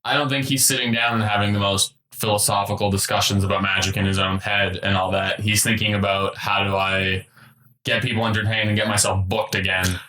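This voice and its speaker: A man with a bright, forceful, fairly low voice talks casually as a podcast co-host. The recording has a noticeable roomy echo. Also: flat delivery, fairly quick. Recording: roomy, echoey sound.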